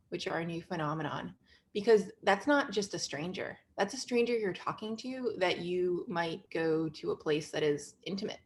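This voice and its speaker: A woman's voice: lowish voice, a bit bright, a little hiss or hum. A young woman, a student, talking casually as a participant in a video-call discussion from her kitchen.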